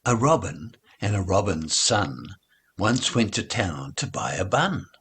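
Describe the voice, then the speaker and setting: A husky male reader recites nursery rhymes very slowly. His voice sits fairly low, and he keeps a measured storybook rhythm with clear rises and falls. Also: very animated, a bit bright.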